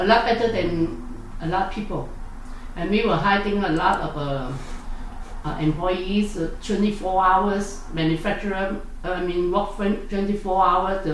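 A woman, answering interview questions in halting speech full of fillers and self-corrections. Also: lowish voice. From South Vietnam. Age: 60s. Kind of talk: oral history interview. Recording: echoey room, distant mic, faint noise.

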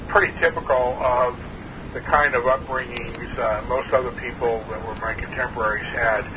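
Sixties man, interviewee, halting and throaty; muffled, hissy phone recording. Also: medium pitch. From New Hampshire. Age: 60s. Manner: hesitant, many uh fillers, measured. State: clearing his throat, frog in throat. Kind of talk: oral history interview.

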